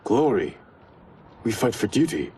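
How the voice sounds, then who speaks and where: Casting: an honorable, loyal ninja sibling for a game, warm and expressive, a little off-mic. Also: male voice, fairly low pitch, a little slow. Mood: earnest, principled. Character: dutiful, honorable ninja brother. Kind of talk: dramatic video game cutscene acting. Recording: slightly distant, faint ambient noise.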